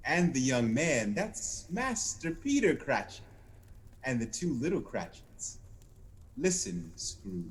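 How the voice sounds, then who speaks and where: A man's voice: mid-range voice, big pitch swings, slow pace. A man playing a grave, stern Christmas spirit in a radio-style audio play, speaking in a declamatory way. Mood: solemn. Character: solemn, stern ghostly Christmas spirit guiding a miser.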